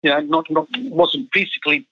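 A middle-aged man from Chile talks through his rugby coaching life in loose, rambling sentences, stopping often for ums and restarts. His voice sits a little high for a man. The sound is muffled and lo-fi, with a very dry, close feel. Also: lively intonation, a little fast, no room sound. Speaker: national team head coach. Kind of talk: casual interview chat.

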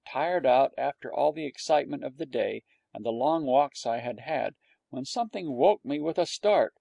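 A man narrates a storybook with a wry, storytelling touch. His voice is gravelly and sits a bit high for a man. The recording is close and dry. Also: a little mellow, no room sound. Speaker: audiobook narrator. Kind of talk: storybook reading.

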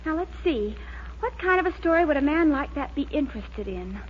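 A dull, slightly hissy old radio recording features an eager young sweetheart full of schemes. Her voice is extremely high and girlish, with lively swings in the style of 1940s situation comedy. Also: fairly quick. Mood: eager scheming. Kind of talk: 1940s radio sitcom acting.

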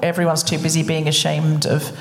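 A woman's voice: sits low, completely flat delivery, a little fast. A woman hosting a live podcast, chatting casually and giving her opinions in a conversational, stop-and-start way. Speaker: podcast host. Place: indoor live venue stage. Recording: reverberant live hall with audience.

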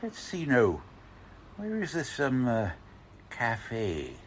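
A man playing a slow-talking, gruff police lieutenant. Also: medium pitch, wildly swooping pitch, noisy background. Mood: wary, bossy. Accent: American. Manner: blunt, slangy, hemming and hawing. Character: gruff, bossy, rough-spoken male police lieutenant. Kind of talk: old-time radio crime drama acting.

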